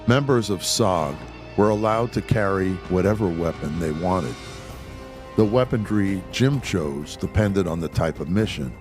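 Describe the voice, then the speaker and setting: Male host-narrator, lowish pitch, scripted documentary read over a clear music bed. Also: animated, a little slow.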